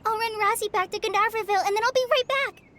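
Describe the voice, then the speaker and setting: A woman voicing an earnest, kindly young trainee forest ranger in an anime game, gentle and reassuring. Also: extremely high pitch, quick pace, a little hiss or hum. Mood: eager. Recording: music under the voice.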